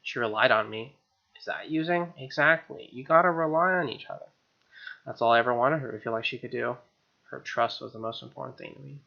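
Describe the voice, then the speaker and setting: A man's voice: medium pitch, fairly quick, vocal fry, dull audio. A man hosting a let's play, reading game text expressively with wry, casual asides.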